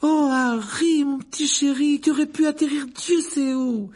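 Man as a fretful, high-voiced mother. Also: fairly even intonation, a little slow, a little mellow. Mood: doting relief. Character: fussing, affectionate, worried middle-aged mother.

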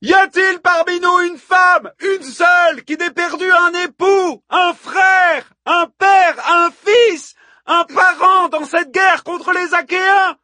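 A man's voice: extremely high pitch, fairly even intonation, takes it slowly, pushed and bright, dry recording, shouting, clean studio sound, voice pushed up, full character voice. A man voicing a fiery, robust Trojan woman who harangues a crowd with impassioned, bellowing oratory in an epic audiobook. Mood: fierce, bellowing. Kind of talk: audiobook character voice, epic fiction.

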